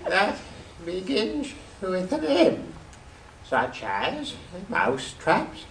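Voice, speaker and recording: A sleepy, dithering little mouse in live radio theatre. He speaks extremely slowly, with a gravelly creak and huge wobbles in pitch. He is testy and rambling. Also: full character voice, low-quality recording. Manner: drowsy, halting, rambling. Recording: live stage with background noise.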